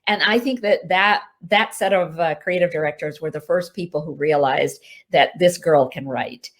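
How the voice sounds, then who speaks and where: A woman's voice: deep voice, moderate pace. A woman whose first language is Hindi, an author chatting casually through reader questions at a virtual event, laughing easily and using lots of fillers. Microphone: video call mic.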